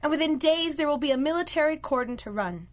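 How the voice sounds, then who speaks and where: Bossy villainess, high pitch, formal and haughty, old time radio drama. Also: female voice, expressive, fast talker. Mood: imperious. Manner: formal, authoritative. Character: imperious female villain, a commanding criminal lieutenant.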